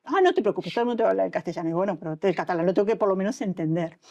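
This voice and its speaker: A woman's voice: mid-range voice, very fast, muffled recording. A middle-aged Uruguayan woman with a Uruguayan Spanish accent, an anesthesiologist telling stories in an interview and acting out what people said to her. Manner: animated storytelling, acts out quoted dialogue. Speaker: anesthesiologist guest. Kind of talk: conversational interview.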